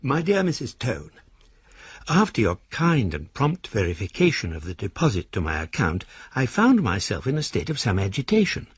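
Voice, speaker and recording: Elderly British gent, florid and pompous, radio play; husky voice, dry slightly dull recording. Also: male voice, mid-range voice, huge pitch swings, moderate pace, no echo, exaggerated voice. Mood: musing, self-justifying. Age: older. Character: verbose, self-satisfied elderly gentleman, an amateur philosopher. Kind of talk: radio drama letter monologue.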